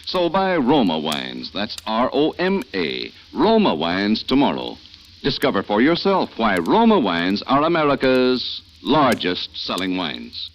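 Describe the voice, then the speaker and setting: A man announcing a radio show and reading a sales pitch in a bright 1940s announcer manner. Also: huge pitch swings, a little slow. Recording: dull lo-fi 1940s radio with hiss.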